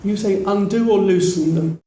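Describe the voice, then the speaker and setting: A man with a high, soft-edged voice chairs a formal meeting. He speaks as the official in charge, on a slightly noisy clip with room ambience behind him. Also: normal speed. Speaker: official running a motor racing drivers' briefing.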